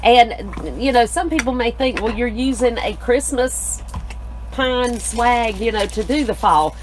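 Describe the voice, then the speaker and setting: A woman's voice: on the high side, measured pace, background noise. A middle-aged woman co-hosting a live craft tutorial in an indoor studio, affirming her partner and explaining steps plainly. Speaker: co-host, craft designer.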